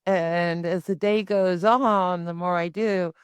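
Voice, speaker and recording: A woman's voice: on the low side, steady tone, takes it slowly, clean tone, no echo. A woman hosting a podcast interview, chatty and thinking aloud. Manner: chatty, talks through ideas aloud. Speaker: podcast host. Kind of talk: casual interview chat.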